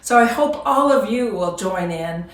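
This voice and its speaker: A woman's voice: mid-range voice, expressive, a little slow. An older woman sharing a prepared personal reflection as a church member. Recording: roomy echo, distant microphone.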